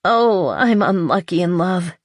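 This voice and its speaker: A woman voicing an anxious, evasive young romantic heroine in an audiobook, halting and pleading. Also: on the low side, lively intonation, no echo, studio quality, voice pushed up. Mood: flustered.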